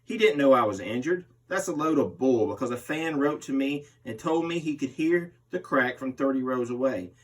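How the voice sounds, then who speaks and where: A man's voice: medium pitch, expressive, normal speed, hardly any pauses. A man reading a magazine interview aloud as a host, voicing the interviewee's part. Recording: distant microphone.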